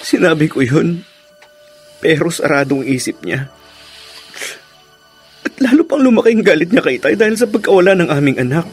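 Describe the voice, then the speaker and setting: An actor playing a tipsy, troubled young husband in a radio melodrama, confiding in despair. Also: male voice, fairly high pitch, big pitch swings, moderate pace, long pauses, darkish tone, slightly rough, faint music behind. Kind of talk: melodramatic radio drama acting.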